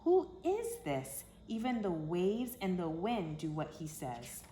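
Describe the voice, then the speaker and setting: A woman's voice: on the low side, huge pitch swings, takes it slowly, warm tone, heavily acted. A woman voicing panicked, pleading disciples caught in a storm for a children's storybook. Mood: awed, afraid. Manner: urgent, pleading. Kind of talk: storybook read-aloud for children. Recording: echoey room with background noise.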